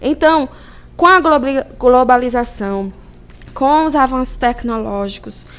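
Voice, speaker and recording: High-pitched Brazilian woman teaching. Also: measured pace, clear, resonant tone. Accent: Brazilian Portuguese. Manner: didactic, conversational, asks and answers own questions, frequent tag questions. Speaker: teacher presenting a video lesson. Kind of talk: informal recorded lecture.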